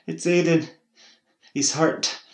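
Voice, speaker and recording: Bloke putting on a high fussy old nurse lady, echoey room. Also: fairly even intonation, a little fast, natural voice. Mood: urgent. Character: older woman, retired nurse, fussing neighbour. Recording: roomy echo.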